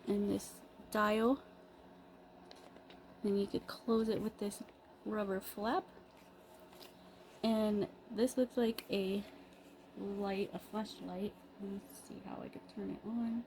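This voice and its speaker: A woman giving a casual, improvised unboxing review, pausing mid-sentence in an appreciative, unhurried way. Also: mid-range voice, level tone, a little mellow. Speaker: product reviewer. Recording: clearly noisy background ambience.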